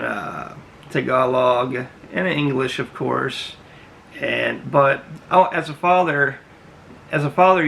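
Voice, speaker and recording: Gravelly, bright-voiced man reacting. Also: medium pitch, slight background noise. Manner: earnest, emphatic, repeats himself. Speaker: reaction video host. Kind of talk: casual commentary. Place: small indoor room.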